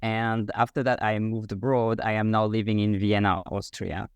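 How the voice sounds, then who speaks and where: French-accented man explaining in measured steps. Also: on the low side, little pitch movement, clear, resonant tone, dry recording, dull audio. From France. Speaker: guest, French learning coach. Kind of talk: explanatory interview answers.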